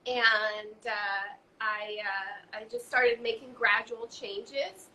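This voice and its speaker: A woman from Hungary chatting as a guest, talking in a halting way with lots of fillers and restarts. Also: fairly high pitch, fairly even intonation, sharp tone, slight background noise, poor audio. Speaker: guest, raw food author. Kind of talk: casual conversation.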